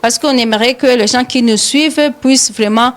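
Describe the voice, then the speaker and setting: A woman's voice: fairly high pitch, normal speed. Hesitant Rwandan woman hosting a radio show. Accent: Rwandan French. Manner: hesitant, many fillers and repeated words. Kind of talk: live studio talk show.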